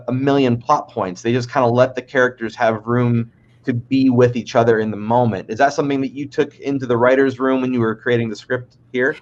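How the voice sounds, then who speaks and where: Host: man with a mid-range voice, dull lo-fi recording, stammers on his restarts. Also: mid-range voice. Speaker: podcast host. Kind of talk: conversational interview.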